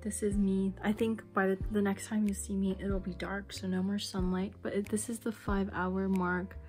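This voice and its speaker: A young woman chatting casually to her camera in a vlog, candid and quick to swear, sometimes dropping to a whisper. Her voice is wearing thin after a long day, and faint music plays underneath. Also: medium pitch, little pitch movement, darkish tone. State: losing her voice. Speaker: beauty vlogger.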